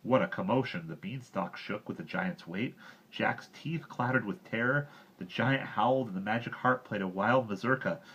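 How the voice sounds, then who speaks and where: Low-voiced man reading a fairy tale. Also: normal speed, fairly bright tone, husky, muffled recording. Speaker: storybook reader. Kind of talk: expressive children's story narration.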